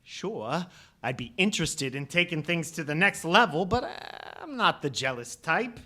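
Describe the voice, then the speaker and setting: A man's voice: on the high side, expressive, normal speed, pushed and bright. A man playing a defensive, earnest, smitten suspect in a 1940s radio play, with flowery protests. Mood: nonchalant, defensive.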